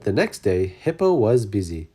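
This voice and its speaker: A storyteller reading a children's story aloud with expressive, dramatic emphasis. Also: deep voice, normal speed, breathy edge. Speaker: storybook reader.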